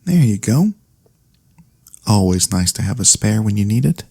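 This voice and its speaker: A man playing a gentle elf cleric in a soft-spoken ASMR fantasy roleplay, speaking in a soothing, courteous, slightly formal way. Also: lowish voice, sing-song, normal speed, slightly rough, dry recording, clean studio sound, full character voice. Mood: warm farewell. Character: gentle, caring male elf cleric healer, pacifist. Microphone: close studio USB microphone.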